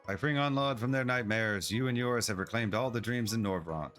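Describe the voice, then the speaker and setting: A man with a fairly low voice reads a game's dialogue and on-screen text aloud while streaming. His reading is plain and unhurried. Quiet game music plays in the background.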